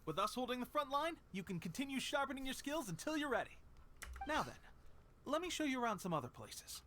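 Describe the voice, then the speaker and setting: A high, animated, boastful soldier. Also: male voice, fairly quick, slight background noise, exaggerated voice. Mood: confident. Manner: self-important, spirited. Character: eager, boastful resistance soldier hungry for frontline glory. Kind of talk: video game dialogue.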